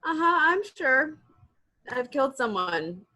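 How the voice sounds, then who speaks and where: A woman's voice: sits high, sing-song, measured pace, pushed and bright, full character voice. A woman playing a distressed, mysterious helpline caller in an amateur horror script reading. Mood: distraught, shaken.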